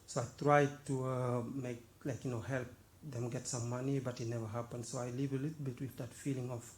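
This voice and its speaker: A man from Senegal with a Senegalese accent, giving a measured, halting personal account as an audience member. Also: mid-range voice, level tone, a little mellow. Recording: faint background noise.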